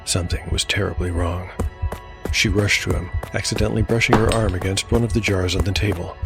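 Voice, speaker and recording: Male narrator, low voice, dramatic measured storytelling, dark fantasy audio drama, music underneath. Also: fairly even intonation, vocal fry. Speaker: narrator and host.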